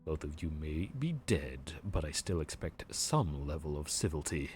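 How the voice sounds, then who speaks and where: A man's voice: extremely low pitch, lively intonation, moderate pace, soft background music. A man voicing a weary male grim reaper who runs the underworld queue, a sarcastic and exasperated bureaucrat. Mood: stern. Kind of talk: audio roleplay.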